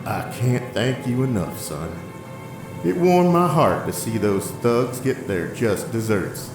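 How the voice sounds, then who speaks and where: A man's voice: medium pitch, sing-song, slow. An actor playing a kindly, world-weary older diner owner in an audio drama, fatherly and plainspoken. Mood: warm, fatherly. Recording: echoey, with loud music underneath. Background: music.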